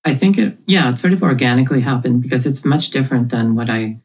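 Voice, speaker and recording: A woman's voice: rumbling low, quick pace, darkish tone, breathy edge. A woman comic creator, raised in Ethiopia, talking thoughtfully as a podcast guest and laughing often. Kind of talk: casual podcast interview.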